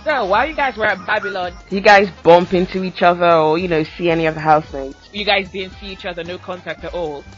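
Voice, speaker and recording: A man's voice: sits high, fast, hardly any pauses, fairly bright tone. A man hosting a podcast interview, upbeat and chatty, often laughing. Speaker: podcast host. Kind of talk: casual interview. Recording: dull lo-fi audio with faint music.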